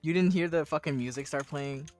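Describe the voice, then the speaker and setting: A man's voice: on the high side, fairly quick, music in the background. A man reading a visual novel aloud in a casual way, breaking in with informal, sweary commentary. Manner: informal, profane, interjecting.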